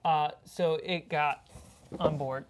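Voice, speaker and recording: A woman's voice: low-pitched, steady tone, slow pace, slight background noise. A woman who hosts and runs a tabletop game, talking casually after the session. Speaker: game master and host. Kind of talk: casual post-game discussion.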